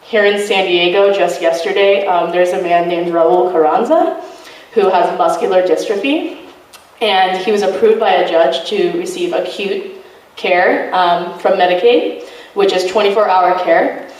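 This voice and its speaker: A woman's voice: fairly low pitch, measured pace, distant mic. Earnest woman in an echoey room. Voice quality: loud voice. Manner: earnest, many fillers. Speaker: activist speaker. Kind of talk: informal talk to a student group. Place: college meeting room.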